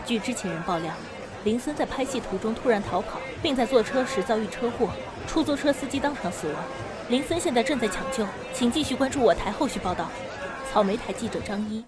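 A woman playing a brisk, formal TV news reporter in an audio drama. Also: a little high, lively intonation, natural voice. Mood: urgent, formal. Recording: loud crowd behind the voice.

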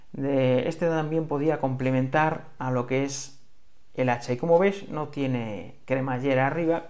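A man's voice: a little high, a little mellow. A man with an accent from Spain, explaining things in a casual hands-on review, conversational and a little hesitant. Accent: Spanish from Spain. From Galicia, Spain. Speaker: bushcraft knife reviewer.

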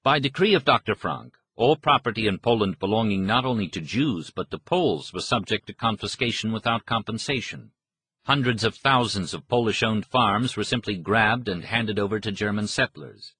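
A man narrates a history audiobook in a low, husky voice. His delivery is measured and formal, following a written script. The sound is close and very dry, with no room around the voice. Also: pushed and bright, no echo.

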